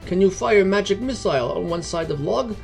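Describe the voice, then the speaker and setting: A man's voice: high voice, animated, brisk, gravelly, darkish tone, soft background music, pitched above the performer's own voice. A man voicing an earnest, self-righteous paladin in a fantasy story, with clipped phrasing that drops small words. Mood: cautious. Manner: clipped foreign-style speech dropping articles.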